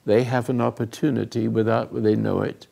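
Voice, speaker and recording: An older man hosting a talk, giving rambling, earnest moral teaching to a live group, often dropping into hushed asides. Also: fairly low pitch, little pitch movement, moderate pace. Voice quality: gravelly, husky. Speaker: host and spiritual counselor.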